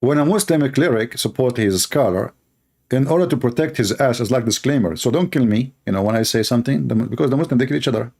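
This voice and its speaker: A man's voice: medium pitch, quick pace, gravelly, a little mellow, breathy edge. A man whose first language is Arabic, giving sarcastic, mocking commentary and laughing often. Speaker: online debater.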